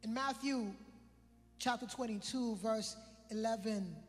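A man's voice: high-pitched, measured pace, big-room sound, faint music behind. A young man from the British Virgin Islands with an island accent, preaching a conversational sermon that repeats phrases and calls out listeners by name.

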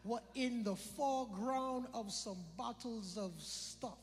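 Guy preaching, super high voice, loose and jokey, repeats lines, big hall echo. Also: slow. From British Virgin Islands. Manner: conversational, joking, repeats phrases for emphasis. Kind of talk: sermon. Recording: long hall reverb with faint music.

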